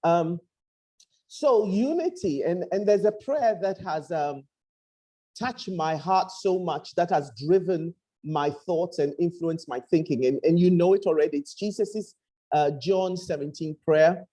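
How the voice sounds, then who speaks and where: A woman pastor with a Ghanaian accent preaches to a remote audience in an earnest, reflective way. Her voice is mellow and warm, and she often hesitates and repeats words. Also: on the low side, unhurried. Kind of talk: sermon-style talk. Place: home in Accra, speaking to a remote audience.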